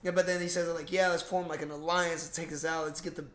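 A man with a fairly high voice speaks in a very echoey room. He is a podcast host in loose, casual recap mode, full of slang and swearing, as if talking to a close buddy. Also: little pitch movement, fast, a bit bright. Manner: profane, slangy bro talk. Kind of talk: casual movie recap chat.

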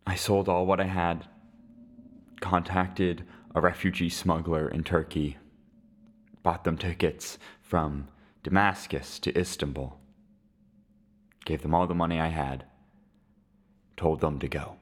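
A man playing a lonely, resigned Syrian widower in his seventies in a dramatic podcast monologue, halting and reflective. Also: sits low, steady tone. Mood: sorrowful, reflective. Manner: slow, halting, reflective delivery with weighty pauses.

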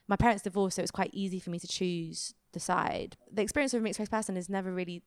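Fast-talking woman, crisp voice. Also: medium pitch, steady tone, no room sound, clean studio sound. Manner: informal, frank, sweary. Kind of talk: candid casual conversation.

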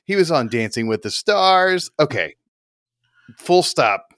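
A man's voice: fairly high pitch, very animated, takes it slowly, fairly bright tone, no echo, clean studio sound. A man co-hosting a podcast, chatting casually in a rambling, opinionated way and swearing freely. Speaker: podcast co-host. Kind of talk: casual chat.

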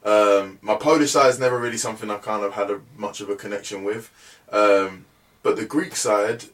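Young man, lowish voice, laid-back guest, a bit off-mic. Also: a little fast, distant mic. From England. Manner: relaxed, chatty, many fillers like "you know what I mean". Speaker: musician guest. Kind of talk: casual podcast interview.

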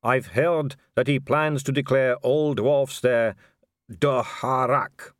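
Man voicing a smooth, condescending aristocratic vampire lady. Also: mid-range voice, no echo, clean studio sound, voice pushed up, lightly acted. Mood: knowing, weary. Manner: smooth, measured, diplomatic. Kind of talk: audiobook character dialogue.

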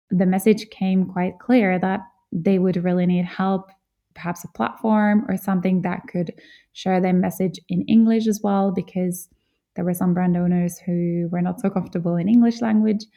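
A woman's voice: fairly low pitch, vocal fry, mellow. A woman from Finland hosting a podcast, telling her story in an earnest, reflective way. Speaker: podcast host. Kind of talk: solo podcast monologue.

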